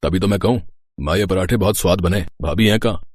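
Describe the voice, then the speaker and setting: A man's voice: deep voice, fast talker, dry recording, studio quality. A man playing a mischievous young village brother-in-law in a storybook tale, teasing and pleading. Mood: teasing, cheerful. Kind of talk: storybook acting.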